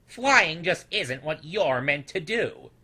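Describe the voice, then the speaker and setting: A man's voice: fairly high pitch, big pitch swings, slow, pushed and bright, voice pushed up, full character voice. A man voicing a scornful old storybook creature who sneers and belittles with heavy emphasis. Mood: mocking, scornful. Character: scornful old male snoodle, mocking and belittling.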